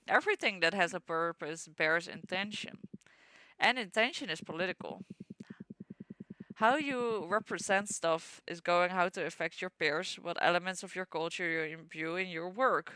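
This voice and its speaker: A woman's voice: lowish voice, pushed and bright, smooth, no echo. A woman reading as a teasing ancient card-reading being. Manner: teasing, lecturing. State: stuffy nose.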